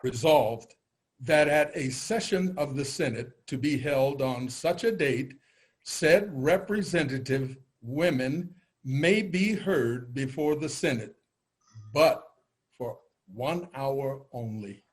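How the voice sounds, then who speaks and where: A man playing a formal official, reading proclamations deliberately and declaiming them in a readers' theater style. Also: mid-range voice, lively intonation. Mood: formal, proclaiming.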